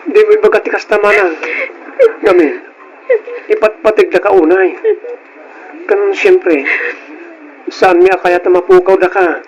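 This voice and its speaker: A man's voice: high voice, fairly even intonation, measured pace, pauses often, poor audio. A man playing a worried young student and devoted younger brother in a radio drama, earnest and pleading. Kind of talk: Ilocano radio drama acting.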